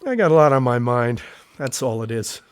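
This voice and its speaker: A man acting a nervous ex-soldier turned painter in an audio play. His words are terse and guarded, yet the pitch moves around a lot. The recording is close and dry. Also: expressive, normal speed, clear, resonant tone, no room sound, faint music behind, lightly acted. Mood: troubled, reluctant. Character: nervous, guarded male army veteran working as a painter. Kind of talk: audio drama dialogue.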